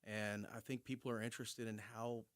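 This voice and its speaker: A man's voice: fairly low pitch, little pitch movement, a little fast, no echo. A young man hosting a talk show, affirming his guest and asking follow-up questions. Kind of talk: casual talk show conversation.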